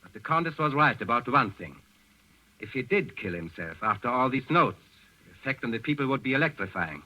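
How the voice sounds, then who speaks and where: Stern nazi officer in old radio play, very forceful, swings up and down, clipped and formal, muffled recording. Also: male voice, medium pitch, expressive, a little fast. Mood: calculating. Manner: clipped, formal, barking at subordinates. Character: stern, suspicious Nazi police chief, coldly formal. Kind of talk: 1940s radio drama acting. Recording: dull vintage radio recording.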